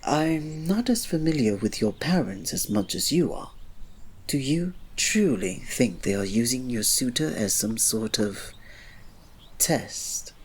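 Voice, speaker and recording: A man playing a reserved, devoted royal servant in an ASMR romance roleplay, speaking softly, politely and formally with a breathy voice. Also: medium pitch, lively intonation, takes it slowly. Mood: earnest, questioning. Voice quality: soft, breathy. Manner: soft, polite, formal, restrained, often dropping to a breathy murmur.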